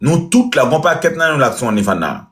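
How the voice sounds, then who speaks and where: A man's voice: a little high, big pitch swings, fast, fairly bright tone. Boastful, teasing man on a live stream. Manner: boastful, teasing, switches between French and Creole. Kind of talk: joking live chat.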